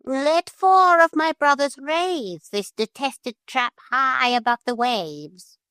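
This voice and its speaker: A woman's voice: high voice, slow pace, a bit bright, clear, resonant tone, dry recording, pitched above the performer's own voice. A woman voicing an earnest, formal talking parrot. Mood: earnest pleading. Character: earnest, stately talking parrot, polite but commanding.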